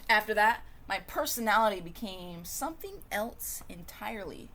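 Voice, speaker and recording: A woman reading a children's storybook aloud in an expressive, dramatic way, with varied emphasis and pauses. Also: mid-range voice, a little hiss or hum. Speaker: storybook reader.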